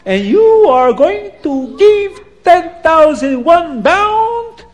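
A man voicing a loud, greedy, boastful rich palace owner who shouts drawn-out calls. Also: very high-pitched, big pitch swings, pitched above the performer's own voice, full character voice. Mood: furious.

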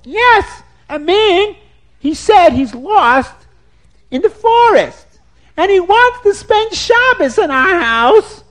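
A man voicing a shrill, panicky woman who shrieks warnings in a drawn-out way. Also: sing-song, a bit bright, heavily acted. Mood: anxious. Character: shrill frantic woman, a fierce man's wife.

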